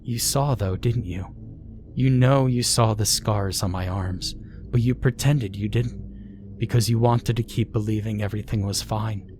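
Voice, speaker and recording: A man's voice: on the low side, a little hiss or hum. A man doing a possessed, accusing daughter. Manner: quiet muttering, near whisper.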